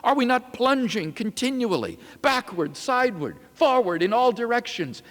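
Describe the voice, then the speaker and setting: A man's voice: very high-pitched, sing-song, normal speed, fairly bright tone, pitched above the performer's own voice, heavily acted. A man voicing an anguished, accusing madman with declamatory, urgent delivery in a dramatic reading. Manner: declamatory, urgent rhetorical questions. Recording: reverberant lecture hall.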